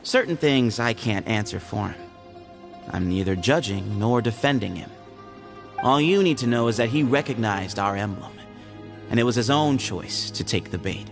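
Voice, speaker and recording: A man voicing a sly, playful young man in a video game, teasing and evasive, with a relaxed manner. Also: fairly low pitch, normal speed, gravelly, over music. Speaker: game character.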